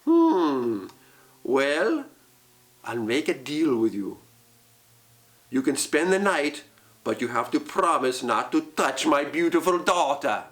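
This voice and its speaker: A man's voice: a little high, very animated, slow, long pauses, fairly bright tone, pitched below the performer's own voice, full character voice. A man voicing a small, strange-looking farmer who is wary of a stranger.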